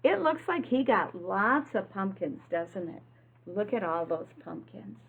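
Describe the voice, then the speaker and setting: Slow-paced woman reading to young children. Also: mid-range voice, lively intonation, creaky, phone-quality sound, a little hiss or hum. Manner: simple, instructive phrasing with questions to young children. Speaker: early-childhood teacher reading aloud to children. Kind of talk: children's picture-book read-aloud with asides to young listeners.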